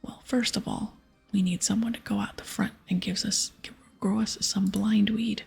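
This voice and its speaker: Gruff pirate captain, played by a woman: husky half-whisper, heavy creak, theatrical old-salt talk, game dialogue over music. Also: medium pitch, steady tone, moderate pace, full character voice. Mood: conspiratorial. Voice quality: breathy, half-whispered, gravelly. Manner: archaic pirate talk with arrs and ayes. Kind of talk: ASMR video game dialogue read-aloud. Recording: soft music behind.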